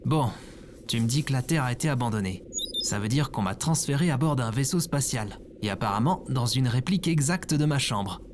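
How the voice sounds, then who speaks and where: A woman's voice: a bass voice, lively intonation, brisk, background noise. A woman playing a lazy, indignant slacker man in his thirties in a comedy audio drama, whiny and incredulous. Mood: smugly incredulous.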